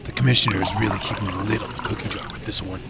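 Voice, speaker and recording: An actor playing a cynical, wisecracking 1920s police detective with a slangy, sardonic delivery in radio drama style. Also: male voice, fairly low pitch, steady tone, very fast, heavy background noise, natural voice. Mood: dismissive, guarded. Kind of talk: old-time radio crime drama acting. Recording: crowd chatter behind the voice.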